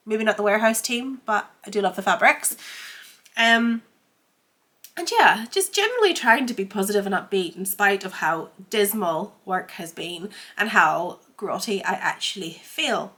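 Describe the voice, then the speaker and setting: A woman's voice: medium pitch, expressive, moderate pace, bright, forceful tone. A woman with a congested, head-cold voice, chatting casually as the host of a sewing vlog while feeling under the weather. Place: home sewing room.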